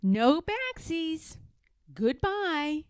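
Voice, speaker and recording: A woman voicing a sly, firm young girl in a storybook, speaking with deliberate emphasis. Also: very high voice, very animated, no room sound, studio quality, voice pushed up, heavily acted. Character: young girl, firm, sly, singsong, standing her ground.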